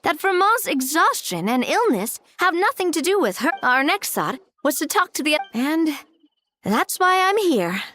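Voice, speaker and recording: An actress playing a poised, friendly and wry young woman in a video game, talking conversationally like an expressive storyteller. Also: sits high, normal speed, a little hiss or hum, heavily acted. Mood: earnest, apologetic.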